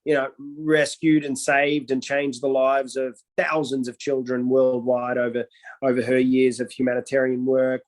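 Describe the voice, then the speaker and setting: A man's voice: medium pitch, a little mellow, rough recording. A young man, originally from Iraq, telling his life story as an interview guest in an earnest way with the odd joke. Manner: earnest and storytelling, with playful jokes. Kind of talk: casual interview talk.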